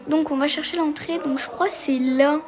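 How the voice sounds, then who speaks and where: A woman's voice: high voice, steady tone, moderate pace. A woman giving casual, hesitant commentary as she walks through a game, with lots of fillers. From Portugal. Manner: hesitant, many fillers, chatty. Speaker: gaming video creator.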